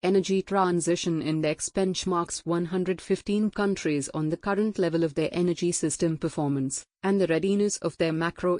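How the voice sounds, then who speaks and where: Mid-pitched reader, slow measured read-aloud, dry close sound. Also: a little mellow, no echo. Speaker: sample exam reader. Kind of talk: reading a passage aloud.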